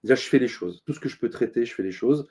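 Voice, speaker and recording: A middle-aged man, a consultant being interviewed, talking earnestly with many pauses and restarts. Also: mid-range voice, expressive, a little mellow, husky. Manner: thoughtful, many fillers and restarts, earnest advice-giving. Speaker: interview guest, business consultant. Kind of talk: casual interview talk.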